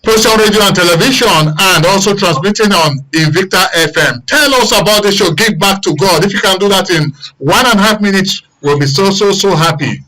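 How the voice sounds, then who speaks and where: A man with a Nigerian accent hosting a live current-affairs phone-in, courteous and brisk as he moderates. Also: sits high, bright, forceful tone, rough recording. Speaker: radio and TV host.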